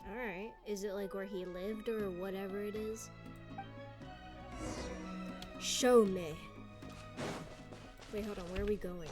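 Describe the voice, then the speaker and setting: A woman's voice: mid-range voice, fairly even intonation, moderate pace. A woman giving casual gameplay commentary, rambling with lots of fillers and slang. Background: game music and dialogue.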